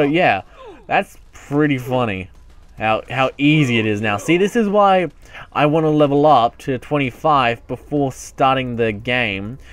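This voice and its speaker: A man giving casual let's play commentary as a gaming YouTuber, rambling and self-mocking, often muttering to himself. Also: medium pitch.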